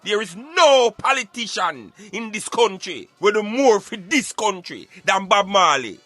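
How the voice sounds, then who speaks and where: A man's voice: high voice, big pitch swings, a little slow, a bit bright, dry recording. A Jamaican man arguing emphatically in Patois, in an impassioned debate. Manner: emphatic, argumentative, speaking in Patois. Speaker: radio show co-host.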